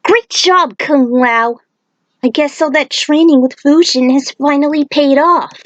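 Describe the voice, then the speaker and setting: A woman voicing an eager, friendly young female warrior in a story, with excited exclamations. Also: high voice, measured pace, no echo, dull audio, voice pushed up. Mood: impressed.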